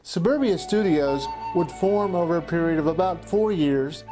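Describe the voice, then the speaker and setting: Male narrator, higher-pitched, for a personal amateur-film retrospective, over clear background music. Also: animated, moderate pace. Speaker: documentary narrator, amateur filmmaker. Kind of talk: personal scripted narration, looking back.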